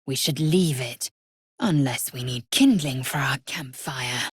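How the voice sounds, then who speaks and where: A woman voicing a proud, fierce, imperious githyanki warrior in a video game, with a husky, raspy voice and a clipped, haughty, formal manner. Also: extremely low pitch, unhurried, no room sound, studio quality, heavily acted. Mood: measured, grave. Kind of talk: game voice acting, short scripted lines.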